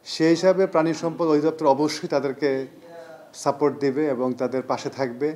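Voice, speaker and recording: Male Bangladeshi official, somewhat high voice, emphatic spoken statement to interviewer. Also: fairly quick, a little mellow. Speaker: livestock department official.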